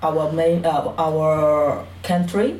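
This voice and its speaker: A low, mellow female voice with a Burmese accent, a native Karen speaker. She tells her personal story in an interview, plainly and a little hesitantly, often adding "you know". The recording is roomy and echoey, picked up from a distance. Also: fairly even intonation, extremely slow. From Burma, Karen community. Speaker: interview guest. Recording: roomy echo, distant mic, faint noise.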